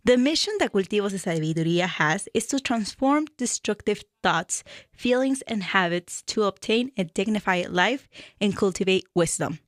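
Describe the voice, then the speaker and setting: A woman from Peru with a Peruvian Spanish accent, a therapist hosting a radio show and reading a prepared introduction in a measured, earnest way. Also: medium pitch, animated, no echo, studio quality. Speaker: radio show host and mental health therapist.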